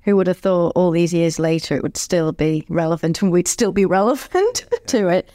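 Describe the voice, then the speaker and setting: A woman, a former ballerina who now works as an audio describer, telling stories in a lively, good-humoured way. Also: lowish voice, moderate pace, no room sound, clean studio sound. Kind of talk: conversational storytelling.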